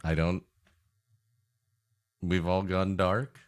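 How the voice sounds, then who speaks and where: A man co-hosting a podcast with improvised comic banter in a halting, mock-earnest, repetitive way. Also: sits low, little pitch movement, smooth. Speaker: podcast co-host.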